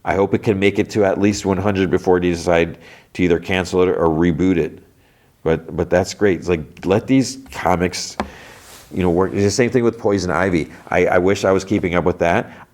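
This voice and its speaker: A man's voice: low-pitched, darkish tone. A stuffed-up man hosting a podcast, rambling in casual chat about comics, with many restarts and trailing thoughts. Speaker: podcast host.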